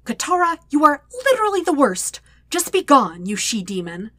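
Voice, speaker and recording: A woman's voice: sits high, big pitch swings, moderate pace, a bit bright, dry recording, pitched above the performer's own voice. A woman voicing an exasperated, dutiful young man in a story reading, emphatic and sarcastic. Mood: exasperated, sputtering.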